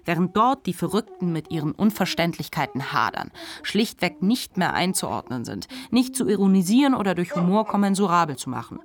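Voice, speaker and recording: A woman playing a reflective, articulate narrator of mental illness in a literary radio play. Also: low voice.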